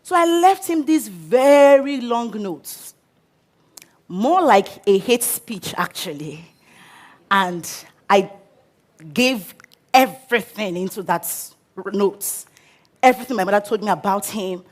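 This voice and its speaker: A woman with a Nigerian English accent giving an inspirational storytelling talk on stage, expressive and dramatic. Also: medium pitch, slow pace. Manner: expressive, dramatic, acts out quotes. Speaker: speaker. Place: auditorium stage.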